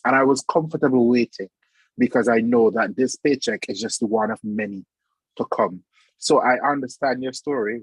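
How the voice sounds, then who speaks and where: A man with a low, gravelly voice and a husky edge, recorded dry and close on a video call. He speaks earnestly and reflectively as a panelist, sounding a little sleepy late at night. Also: no echo, poor audio. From Saint Vincent. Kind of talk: online panel discussion.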